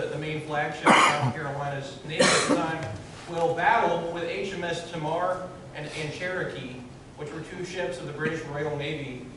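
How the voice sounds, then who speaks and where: A loud, chatty man lectures to a crowd, his voice sitting a bit high. He is heard from far off in a very echoey room, with steady noise underneath. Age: 30s. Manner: loud, chatty, many fillers and restarts. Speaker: guest lecturer, historian. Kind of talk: public history lecture. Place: large echoey room, in front of an audience.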